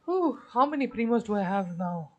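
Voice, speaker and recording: A woman's voice: on the high side, sing-song, moderate pace, lo-fi sound. A woman streaming a video game, casually thinking aloud and talking to her chat. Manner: hesitant restarts while counting. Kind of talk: casual live-stream commentary.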